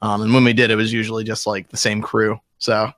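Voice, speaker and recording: A man's voice: on the low side, flat delivery, fairly quick, fairly bright tone, dry recording, studio quality. A man co-hosting a podcast in casual, jokey group chat. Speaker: podcast co-host.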